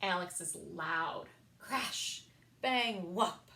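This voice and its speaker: A woman reading picture books aloud for a children's story time, expressive and sing-song, with emphasized words. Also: fairly low pitch, drawn-out words, a little hiss or hum. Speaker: library story time reader.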